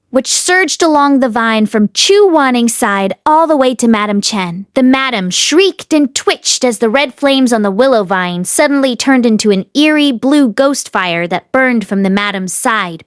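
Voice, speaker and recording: A woman's voice: fairly high pitch, no room sound. A woman narrator reading fiction aloud expressively as an audiobook host, close to the microphone.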